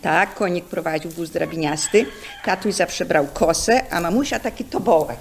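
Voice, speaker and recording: A woman's voice: lowish voice, normal speed, creaky, slight background noise. A woman in her sixties or older, telling childhood memories like a story. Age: 60s or older. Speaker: senior sharing memories. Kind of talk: storytelling reminiscence.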